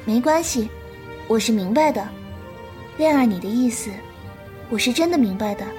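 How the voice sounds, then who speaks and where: A woman playing a gentle, affectionate young disciple and elder martial sister in a Chinese period audio drama, with a tender, coaxing manner. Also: fairly high pitch, lively intonation, moderate pace, soft background music, close to an everyday voice.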